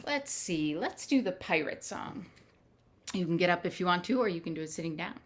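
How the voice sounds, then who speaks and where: A woman reading picture books aloud to young children in simple, measured phrases, with little asides to her listeners. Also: fairly low pitch, animated, a little hiss or hum. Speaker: children's storytime reader. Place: at home.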